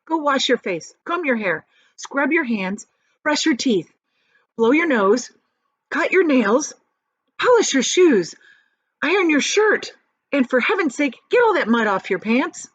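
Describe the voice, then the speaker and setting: A woman voicing an excited old grandfather in a storybook reading, shouting rapid orders. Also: high voice, no echo, pitched above the performer's own voice.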